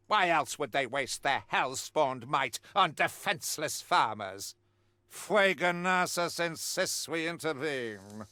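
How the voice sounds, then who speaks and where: Pompous, zealous warrior priest, forceful and sharp. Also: male voice, fairly high pitch, heavily acted. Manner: pompous, grandiloquent, deliberate pauses for effect. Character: zealous, righteous warrior priest and witch hunter. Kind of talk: theatrical video game mission briefing.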